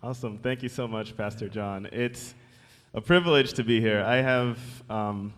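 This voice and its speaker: A man's voice: lowish voice, moderate pace, fairly bright tone. Young American man in a reverberant hall. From United States. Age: 20s. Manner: upbeat, chatty, grateful. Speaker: incoming youth pastor. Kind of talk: informal self-introduction to a congregation. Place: large church hall. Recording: long hall reverb from church sound system.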